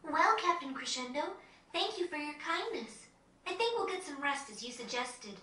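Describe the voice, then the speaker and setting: Gentle, high-voiced teenage girl. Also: big pitch swings, normal speed, roomy sound, recorded from a distance, exaggerated voice. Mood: polite, pensive. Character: gentle, polite, earnest young teenage girl. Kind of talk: video game cutscene acting.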